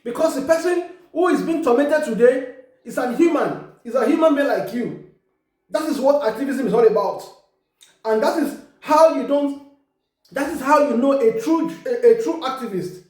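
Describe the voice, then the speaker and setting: A woman's voice: high voice, animated, normal speed, a little mellow. Emphatic Yoruba-accented woman, very echoey room. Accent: Nigerian Yoruba. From Ekiti, Nigeria. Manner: emphatic, repeats words and restarts often. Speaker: online commentator. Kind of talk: casual opinion monologue.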